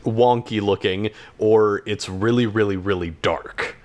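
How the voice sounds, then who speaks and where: A man co-hosting a podcast in casual chat, talking in a hesitant, halting way. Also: on the low side, steady tone, a little mellow. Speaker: podcast co-host.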